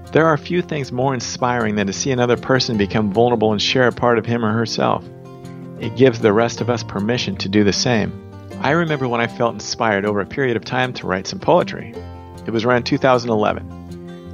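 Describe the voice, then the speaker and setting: A man's voice: medium pitch, vocal fry, dull audio. A man hosting a podcast, speaking in reflective monologue and introducing guests. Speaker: podcast host.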